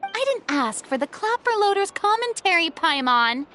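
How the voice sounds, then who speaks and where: Casting: sarcastic young game heroine, high-pitched, outspoken, given to grumbling. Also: female voice, very animated, moderate pace, pushed and bright, slight background noise, heavily acted. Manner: sardonic, complaining. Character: outspoken young woman, grumbling film crew member, sarcastic. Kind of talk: video game voice acting.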